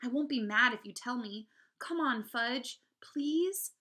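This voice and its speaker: Woman playing an exasperated, bright-voiced schoolboy. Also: on the high side, animated, a little slow, voice pushed up. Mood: anxious, demanding. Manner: emphatic, indignant. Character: exasperated schoolboy, indignant big brother.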